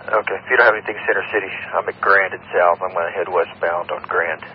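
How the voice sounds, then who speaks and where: A male officer calls in over police radio in a fairly low voice. He talks quickly, in patrol radio style. The sound is muffled. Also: fairly even intonation, slight background noise. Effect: police radio transmission. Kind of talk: radio traffic from a patrol unit.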